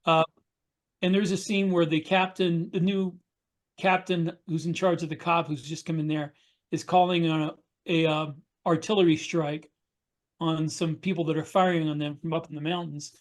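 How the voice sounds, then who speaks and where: A man's voice: on the high side, flat delivery, moderate pace. A middle-aged man chatting casually on a podcast, telling anecdotes in hesitant pieces. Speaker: podcast co-host. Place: indoor room on a video call.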